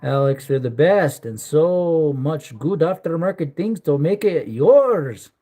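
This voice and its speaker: A man's voice: on the high side, animated, slow pace, mellow. A man hosting a casual livestream, rambling and joking while greeting viewers in the chat. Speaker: livestream host.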